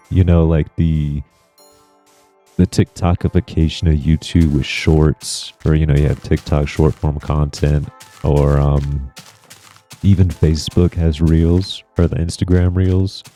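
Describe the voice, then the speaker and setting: A man with a deep voice, rambling softly through a relaxed gameplay commentary as a channel host. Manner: rambling, soft-spoken, reflective.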